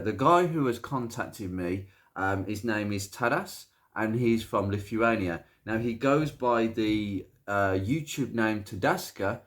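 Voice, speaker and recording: A British man with a slightly croaky voice from hay fever, presenting an informal car video in a chatty, hesitant way with rambling asides. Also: fairly low pitch. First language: English. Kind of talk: informal car video commentary, reading out a viewer's message.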